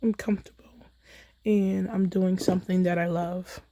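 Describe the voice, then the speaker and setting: An American woman chatting casually in a relaxed, rambling way as she hosts a crafting livestream, recorded on a phone in a holder. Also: lowish voice, normal speed, no room sound, clean studio sound. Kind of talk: casual chat while crafting.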